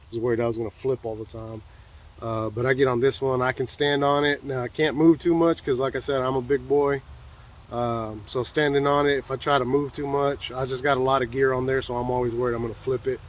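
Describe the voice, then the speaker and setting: A man's voice: mid-range voice, fairly even intonation, fairly quick. A man with a congested, stuffy voice, casually walking through his fishing gear outdoors in a rambling, folksy way. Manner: rambling, folksy, many fillers. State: congested from a sinus infection. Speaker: fishing vlogger.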